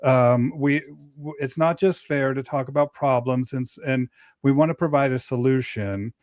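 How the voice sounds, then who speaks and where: A man hosting a casual livestream chat, talking in a joking way with quick restarts. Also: medium pitch, a little mellow, dry recording. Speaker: show host.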